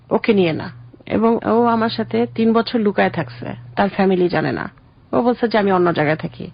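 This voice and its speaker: A middle-aged woman with a Bangladeshi accent and a husky, creaky voice, telling her personal story as an interview guest. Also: medium pitch, highs cut off.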